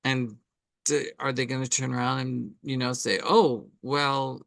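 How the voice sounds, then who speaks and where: Middle-aged man, deep fry in the voice, chatty and emphatic, dry close recording. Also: a little slow, no room sound. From Canada. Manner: animated, frequent "right?" and "um". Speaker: artist interviewee. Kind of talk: conversational interview answers.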